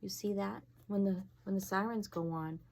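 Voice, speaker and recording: A woman's voice: medium pitch, steady tone, moderate pace, creaky, darkish tone, a little hiss or hum. A woman fire inspector reading a storybook aloud to children, expressively, with casual asides and questions to her young listeners.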